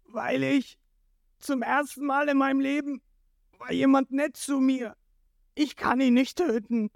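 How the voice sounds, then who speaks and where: A narrator voicing a soft-hearted, loyal male pirate henchman who pleads and wails in a storybook retelling. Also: medium pitch, expressive, slow, no echo, studio quality, voice pushed up. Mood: wailing. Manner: pleading, wailing.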